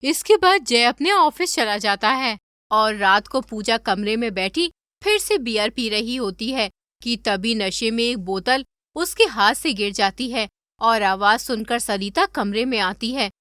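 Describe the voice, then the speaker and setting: A woman narrating a scripted animated story as its storyteller. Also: on the high side, a little slow, dry recording, clean studio sound.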